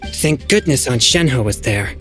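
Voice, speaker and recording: A woman voicing a calm, earnest character in an anime game. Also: rumbling low, measured pace, faint music behind. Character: calm person who admires an aunt.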